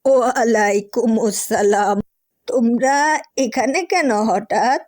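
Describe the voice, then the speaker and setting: A woman's voice: a little high, slow, darkish tone, no room sound, clean studio sound. A woman voicing a folksy elderly farm grandmother in a cartoon, with a Bangladeshi accent and colloquial rural speech. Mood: friendly. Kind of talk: cartoon dialogue.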